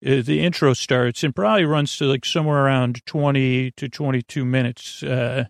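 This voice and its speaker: A man hosting a sleep podcast in a creaky voice, meandering through tangents, halting and repeating himself as he trails off mid-thought. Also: medium pitch, fairly even intonation, no room sound, clean studio sound. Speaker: podcast host.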